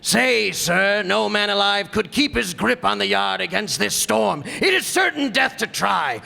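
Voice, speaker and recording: A man's voice: high voice, animated, unhurried, creaky, bright, forceful tone, pitched above the performer's own voice, heavily acted. A man playing an alarmed sailor urgently pleading with his captain in old-time radio drama style. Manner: urgent, raised voice, archaic speech. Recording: live hall with long reverb.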